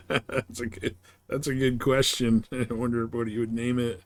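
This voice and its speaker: A man chats casually as he streams a game, thinking aloud between remarks to viewers. His pitch is average for a male voice. The sound is dry and close, with very little room in it. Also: little pitch movement, a little slow, dry recording. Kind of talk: casual chat while playing a space game.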